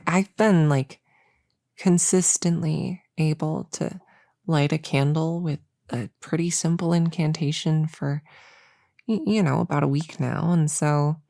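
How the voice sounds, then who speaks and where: A woman acts out a young novice witch, eager but unsure, in an intimate audio roleplay. Her voice is mellow and softly creaky, with halting, reassuring phrases that often sink to a whisper. It is captured very close and dry. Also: low voice, no room sound, exaggerated voice. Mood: sheepish, hesitant. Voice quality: soft, often dropping into whisper. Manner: halting, soft-spoken, hesitant and reassuring. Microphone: close-up mic in a dry, quiet space.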